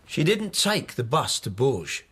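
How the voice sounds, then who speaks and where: A man's voice: medium pitch, big pitch swings, measured pace, fairly bright tone, slight background noise. A male actor playing a suspicious, menacing brother in a radio drama, blunt and interrogating. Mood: accusing. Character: suspicious, menacing brother hunting for his sister.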